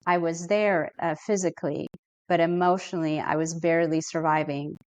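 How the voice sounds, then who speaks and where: A middle-aged woman from Ethiopia, a therapist speaking as a podcast guest in an earnest, reflective and measured way. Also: deep voice, little pitch movement, no echo. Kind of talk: podcast interview.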